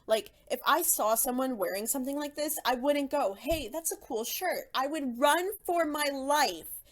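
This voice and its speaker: Opinionated young woman, slangy commentary. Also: fairly high pitch. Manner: opinionated, casual slangy phrasing. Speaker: YouTube commentator.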